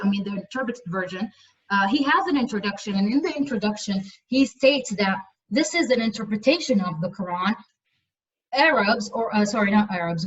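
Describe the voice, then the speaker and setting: Distant, muffled woman lecturing. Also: mid-range voice. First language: Arabic. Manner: hesitant, many fillers and restarts. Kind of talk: university lecture on translation. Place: lecture room.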